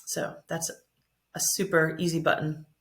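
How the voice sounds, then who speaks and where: A woman's voice: low-pitched, little pitch movement, normal speed, fairly bright tone. A woman speaking as a teacher, giving a conversational, encouraging tutorial presentation. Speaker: teacher presenting a reading app.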